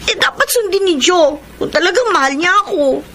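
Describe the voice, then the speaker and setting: A woman's voice: very high-pitched, expressive, normal speed, pushed and bright, exaggerated voice. A woman with a Filipino accent acting a demanding, easily hurt young girlfriend in a melodramatic radio drama. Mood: sulky, stubborn. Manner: dramatic, sulky, aggrieved.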